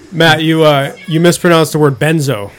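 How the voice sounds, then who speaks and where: A man's voice: fairly high pitch, steady tone, moderate pace. Joking male podcast host. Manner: joking, teasing, swears casually. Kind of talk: casual chat.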